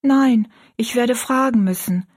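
A woman voicing a timid, earnest little orphan girl in an audiobook story, with a soft, breathy voice. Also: on the high side, unhurried, pitched above the performer's own voice. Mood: determined. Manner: fearful, earnest.

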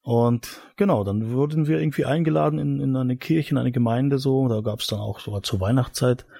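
A man in his forties from eastern Germany tells his story casually as an interview guest. His voice is husky and mellow with plenty of creak, recorded dry and close. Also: mid-range voice, a little fast, no room sound. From Mecklenburg-Vorpommern, eastern Germany. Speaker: podcast guest, missionary. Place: studio.

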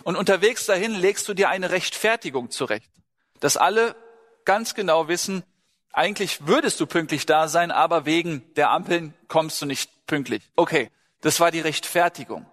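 A man's voice: a little high, moderate pace, a bit bright. A man preaching to a congregation in a conversational, questioning way. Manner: conversational, asks listeners questions, acts out short dialogues. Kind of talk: sermon to a congregation. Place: large hall, speaking to a gathering. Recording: large hall with long reverb.

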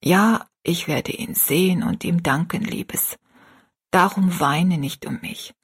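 A woman voicing a gravely ill, gentle and pious mother in a story, half-whispered, slow and earnest. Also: lowish voice, heavily acted. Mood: tender, weak.

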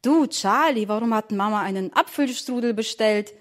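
A woman voicing an eager little girl who begs and pleads in a high, childlike tone. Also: moderate pace, close to an everyday voice. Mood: pleading.

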